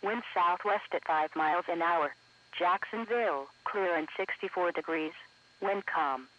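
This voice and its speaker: A man's voice: sits high, unhurried. A man reading scripted weather bulletins in a formal, segmented, automated way as a radio announcer. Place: radio broadcast captured for an online stream. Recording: muffled lo-fi weather radio broadcast.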